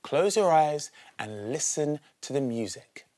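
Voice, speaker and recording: A man's voice: on the high side, animated, unhurried, a little mellow. A man voicing a cheerful, encouraging woman dance teacher in a children's storybook, calling out instructions with enthusiasm. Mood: gentle, encouraging.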